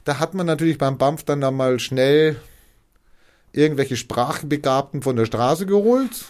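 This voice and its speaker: Animated man explaining things. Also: a little high, a little slow. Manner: animated explaining, acts out quoted dialogue, frequent restarts. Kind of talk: casual explanatory conversation.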